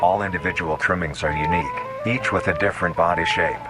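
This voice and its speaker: A man with a very low voice narrates in the scripted style of a documentary. His delivery is formal and clinical, with measured phrasing. Music plays clearly behind him. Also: steady tone.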